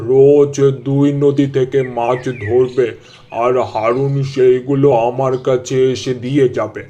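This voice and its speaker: A man's voice: medium pitch, little pitch movement, unhurried, dark tone, dull audio, close to an everyday voice. A man voicing a kindly, businesslike village boss in a cartoon dub. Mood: reassuring. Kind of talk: cartoon dubbing.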